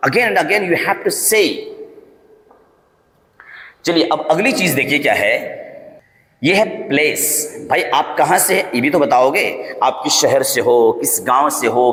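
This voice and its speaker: A man with an Indian accent teaching a spoken English lesson, repeating example phrases slowly and often checking that learners follow. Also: medium pitch, animated, a bit bright. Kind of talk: instructional lesson to camera, switching between explanation and example sentences. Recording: echoey room with long reverb.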